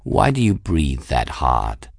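Man voicing a low, smug talking cat. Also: wildly swooping pitch, slow pace, creaky, dry recording, studio quality, full character voice. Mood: condescending. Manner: smug, condescending, drawling. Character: smug, haughty, irritable male talking cat.

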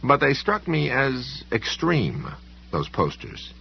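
A man reading the part of a stubborn, uneasy ordinary man in an audiobook story, speaking slowly, earnestly and insistently. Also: medium pitch, huge pitch swings, vocal fry, dull audio, a little hiss or hum, voice pushed up, full character voice.

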